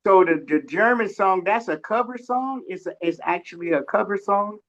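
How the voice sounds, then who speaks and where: A man in casual chat on a radio show, halting as he searches for words, over a dull lo-fi online connection. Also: sits high, fairly bright tone.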